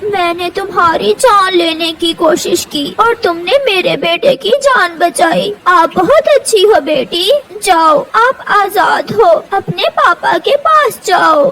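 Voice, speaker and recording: A woman's voice: very high voice, animated, slow pace, few pauses, crowd noise, full character voice, low-quality recording. A woman voicing a predatory mother eagle in a cartoon, gloating and drawing out her words. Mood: grateful, moved.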